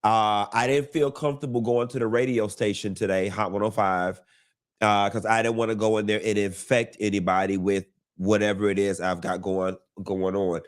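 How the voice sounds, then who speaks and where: A man's voice: steady tone, normal speed. Sassy drawling gossip host, congested man. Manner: sassy, drawling, calls listeners honey and baby; swoops high on greetings and emphasis. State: congested from a cold. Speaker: host of a live gossip show. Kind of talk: casual campy gossip monologue.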